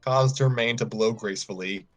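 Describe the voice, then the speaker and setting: A man's voice: medium pitch, normal speed, soft background music. A man narrating a story aloud informally, sometimes stumbling and repeating phrases. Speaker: story reader on a livestream.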